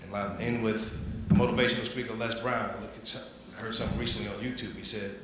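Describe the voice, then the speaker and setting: A man's voice: lowish voice, level tone, far from the mic. Earnest middle-aged man in an echoey hall. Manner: earnest, pointed, with long pauses. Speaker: audience member asking a question. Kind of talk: question from the floor. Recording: echoey hall with faint noise.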